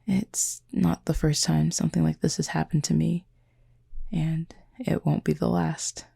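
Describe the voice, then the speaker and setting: Low, creaky, husky cupid played by a woman. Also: fairly even intonation, heavily acted. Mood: apologetic, gentle. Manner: measured, formal, soft-spoken with breathy pauses. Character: gentle, formal, otherworldly wounded cupid, composed and wistful. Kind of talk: intimate audio roleplay monologue.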